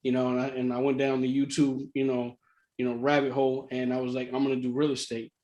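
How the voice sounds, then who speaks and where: A middle-aged man telling a personal story casually, in an animated way, repeating phrases for emphasis. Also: medium pitch, fast talker, highs cut off. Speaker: real estate investor sharing his story.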